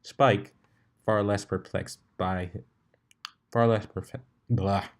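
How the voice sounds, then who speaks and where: Guy with a lowish voice reading a fanfic story, amateur, trips over lines and redoes them. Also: fairly even intonation, slow. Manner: stumbles and rereads phrases. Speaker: fan fiction reader.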